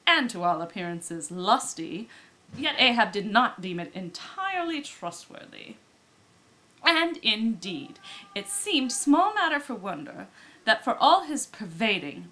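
A woman reading classic literary prose aloud as an audiobook narrator, with deliberate, dramatic phrasing. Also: medium pitch, pushed and bright. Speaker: audiobook reader.

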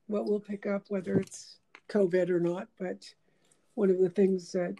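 A woman's voice: mid-range voice, little pitch movement, a little slow, creaky, dark tone. A woman hosting a casual chat, talking in a meandering, reflective way with many fillers. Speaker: host.

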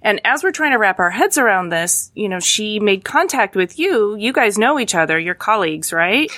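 A woman's voice: medium pitch, big pitch swings, normal speed, bright, forceful tone. A woman hosting a design podcast with an earnest, enthusiastic manner, reading an intro and then chatting with guests. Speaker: podcast host. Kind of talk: scripted intro and casual interviewing.

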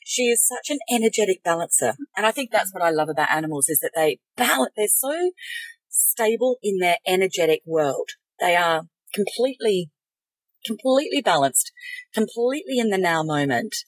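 Aussie woman cohost chatting, bit raspy, really dry recording, earnest and confiding. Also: mid-range voice, lively intonation, normal speed, dry recording, poor audio. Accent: Australian. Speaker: co-host. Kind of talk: casual chat between co-hosts.

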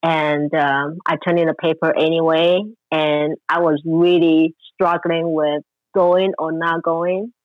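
A woman's voice: sits low, flat delivery, takes it slowly, no room sound. Woman from Taiwan, forties, interview guest, dry and slightly muffled line. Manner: warm storytelling, frequent fillers. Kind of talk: interview conversation.

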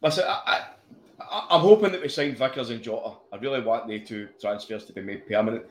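A man talking football in quick, blunt bursts, tripping over his own restarts and swearing freely. His middling voice rings around an echoey room. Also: medium pitch. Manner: fast, with repeated restarts and swearing. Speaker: podcast co-host. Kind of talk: casual football chat.

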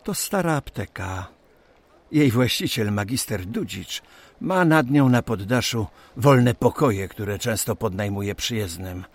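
Actor as a genial company president, very lively intonation. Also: male voice, lowish voice, a little slow. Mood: thoughtful, explaining. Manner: formal, expansive, instructing. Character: genial, businesslike company president of 1820s Poland. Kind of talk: radio drama acting.

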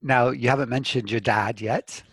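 A man's voice: mid-range voice, fairly even intonation, moderate pace, breathy edge, no room sound. Male host, friendly and teasing, video call with a dry, close sound. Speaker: podcast host. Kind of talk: casual interview chat.

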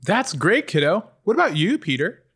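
A man's voice: sits high, big pitch swings, moderate pace, a bit bright, husky, exaggerated voice. A man playing an affectionate, weary mother with a doting, wry manner in a comedy table read.

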